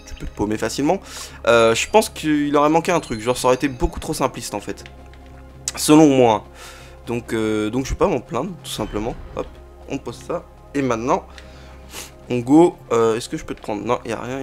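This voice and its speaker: A man with a cold casually commenting on a game he is playing, chatty and full of fillers and interjections. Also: medium pitch. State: has a cold. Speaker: let's play host.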